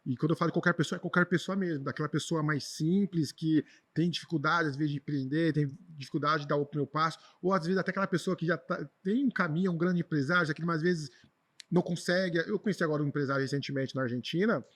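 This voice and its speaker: A man with a Brazilian accent speaks very quickly in a slightly high voice. He tells personal stories in an informal, conversational way, repeating words for emphasis as an interview guest. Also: steady tone, a bit bright. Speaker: podcast guest, traveler and speaker.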